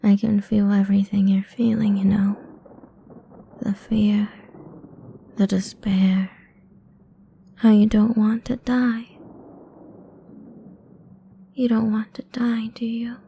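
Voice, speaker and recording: A very dark, breathy vampire woman, acted by a female ASMR performer, speaks slowly with a creaky edge. She toys with her prey, condescending and cruel, often slipping toward a taunting half-whisper. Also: medium pitch, level tone, a little hiss or hum. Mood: mocking pity. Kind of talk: improvised ASMR roleplay.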